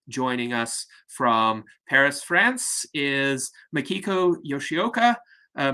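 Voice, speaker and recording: Male host, formal and announcing, bright voice, video call. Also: mid-range voice. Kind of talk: introducing a guest.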